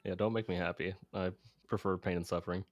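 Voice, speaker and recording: Low-voiced young man, halting and casual. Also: fairly even intonation, no echo, lo-fi sound. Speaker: video editor co-hosting a stream. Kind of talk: casual chat.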